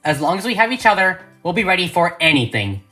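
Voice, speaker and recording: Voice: mid-range voice, very animated, fast, pushed and bright, faint music behind, pitched above the performer's own voice. A narrator voicing a hopeful, heroic young female pony with an earnest, emphatic delivery. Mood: warm, relieved.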